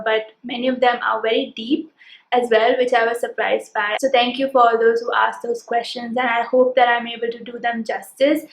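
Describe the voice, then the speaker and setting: A woman's voice: on the high side, roomy sound, rough recording. A woman in her twenties with an Indian English accent, answering viewers' questions in an earnest, reflective casual vlog. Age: 20s. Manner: earnest, reflective, listing things one by one. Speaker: vlogger.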